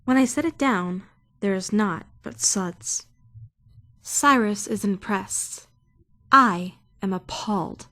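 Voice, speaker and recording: Actriz como joven formal y bastante pausada. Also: female voice, medium pitch, sharp tone. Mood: prim, deadpan. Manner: formal, bookish diction. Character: prim, socially awkward young female trainee, narrating while tipsy. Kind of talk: first-person visual novel fan dub.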